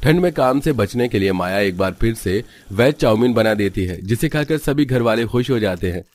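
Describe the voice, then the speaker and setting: A man's voice: fairly low pitch, lively intonation, normal speed, a little mellow, no room sound. A man narrating a scripted family cartoon story.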